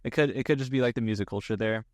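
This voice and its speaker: Smooth, dry-sounding man, casual host. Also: mid-range voice, very fast, dry recording. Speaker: podcast host. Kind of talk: casual interview chat.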